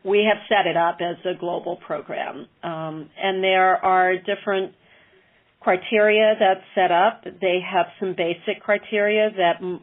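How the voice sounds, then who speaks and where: A woman explaining a workplace program informally on a conference call, with measured, hesitant phrasing. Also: on the low side. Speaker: corporate philanthropy staffer explaining a program. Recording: muffled, band-limited conference call line.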